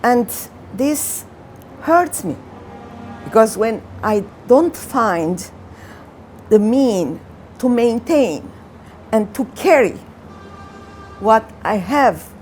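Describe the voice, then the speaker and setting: Slow, heartfelt woman searching for words. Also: mid-range voice, a little mellow, a little hiss or hum. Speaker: community center worker. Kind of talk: interview testimony.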